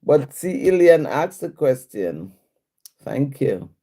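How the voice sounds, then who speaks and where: A woman's voice: a bass voice, big pitch swings, slow, dark tone, slightly rough. A woman hosting a casual live stream, reading viewer comments aloud and answering them in a chatty way with frequent laughs.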